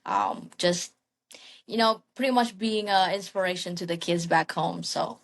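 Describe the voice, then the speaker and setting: Soft-spoken young woman from the Philippines. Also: lowish voice, a little slow, gravelly. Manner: soft-spoken, frequent ums and restarts, light laughs. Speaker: guest singer. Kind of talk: casual interview chat.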